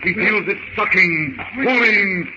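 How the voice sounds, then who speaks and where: A man's voice: high-pitched, slow, dull audio, noisy background. A man narrating a 1930s radio adventure serial in a theatrical, urgent manner with emphatic short phrases, on an old radio recording.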